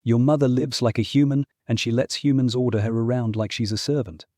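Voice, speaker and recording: Man acting a husky, scheming fallen god. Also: mid-range voice, little pitch movement, a little fast, dark tone, no room sound, studio quality, close to an everyday voice. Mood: scornful, insistent. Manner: imperious, persuasive. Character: proud, scheming ex-god uncle, longing for lost glory. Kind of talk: full-cast fantasy audiobook acting.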